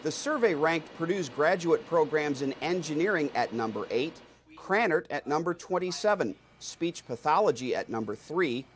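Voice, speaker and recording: Higher-voiced male newsreel narrator, noisy track. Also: lively intonation, moderate pace. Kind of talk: scripted news narration.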